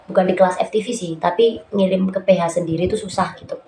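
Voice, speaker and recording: A woman's voice: on the low side, fairly even intonation, fast, warm tone. A woman hosting a casual tutorial vlog, nasal and stuffed up from a cold, chatting with lots of fillers and calling her viewers guys. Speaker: YouTube host giving writing tips. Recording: roomy echo, faint background noise.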